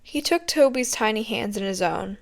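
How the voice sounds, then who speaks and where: A woman's voice: on the high side, expressive, moderate pace, gravelly, over loud music. A woman narrating a written story as an amateur audiobook reader.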